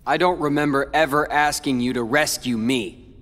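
A man's voice: mid-range voice, moderate pace, fairly bright tone, natural voice. A young man playing a cold, bitter teenage rival in a video game cutscene, speaking in a taunting manner.

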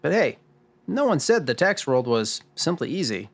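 Noir radio drama: dry male expert, weary and gravelly, highly animated pitch, explains deliberately man acting. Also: heavily acted. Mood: wry. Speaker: host.

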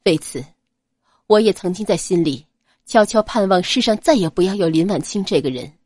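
A woman voicing a cold, restrained young nobleman in an audiobook, quiet, grave and measured. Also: mid-range voice, animated, dry recording, natural voice. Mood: grave, bitter. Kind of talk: dramatized audiobook character acting.

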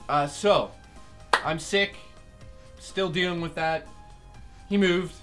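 A young man with a hoarse, worn voice that keeps cutting out, talking a little higher than most men. He chats bluntly and jokes through a casual update, sitting in a small room with faint music behind him. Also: takes it slowly. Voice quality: postnasal cough. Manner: joking, blunt. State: hoarse, losing his voice. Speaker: co-host. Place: small room with game posters.

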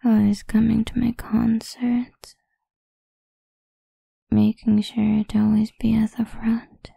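A woman playing a possessive, seductive goth vampire idol in an intimate ASMR roleplay, breathy and husky, often whispering, slow and teasing, close on the mic. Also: fairly high pitch, level tone, warm tone, no echo, exaggerated voice. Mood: coaxing, seductive. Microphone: close studio-style microphone, dry.

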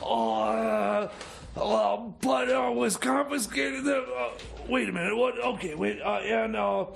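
A man's voice: takes it slowly, soft background music, full character voice. A man playing a boastful, scatterbrained schemer who stammers and rambles in improvised radio comedy. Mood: boastful, flustered. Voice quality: high, creaky voice. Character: boastful, scatterbrained male schemer with a time machine. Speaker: radio show co-host.

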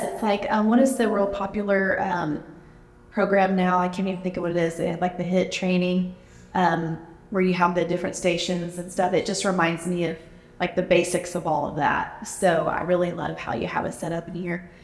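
A woman's voice: on the low side, flat delivery, normal speed, a little mellow. Upbeat thirties woman host, casual chatty interviewer, echoey hall sound. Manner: chatty, upbeat, with many um and like fillers. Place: large indoor gym. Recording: echoey gym with long reverb.

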